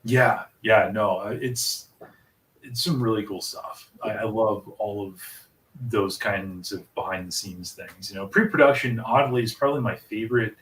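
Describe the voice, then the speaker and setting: A man's voice: fairly low pitch, moderate pace, a bit bright, distant mic. An American man in his thirties hosting a casual interview, chatty and rambling. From United States. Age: 30s. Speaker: interview host. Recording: echoey room, away from the mic.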